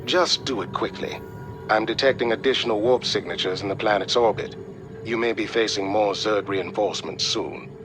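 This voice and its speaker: An analytical military support officer voiced by a male actor in a game briefing. He has a lowish voice and measured, formal delivery over loud music. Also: natural voice. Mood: calm concern. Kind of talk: video game mission briefing.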